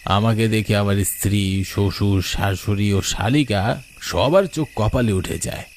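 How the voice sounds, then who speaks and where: A man's voice: fairly low pitch, unhurried, slight background noise. A man narrating a ghost story in the first person, in a dramatic storytelling style. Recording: night crickets and insects behind.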